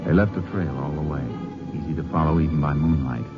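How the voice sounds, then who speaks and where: A seasoned lawman in an old radio drama, with a very low voice. He is calm, laconic and matter-of-fact. The vintage recording is muffled, with clear music behind him. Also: fast. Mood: measured narration. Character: calm, seasoned male Texas Ranger narrating his manhunt. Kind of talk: 1950s radio drama acting and narration.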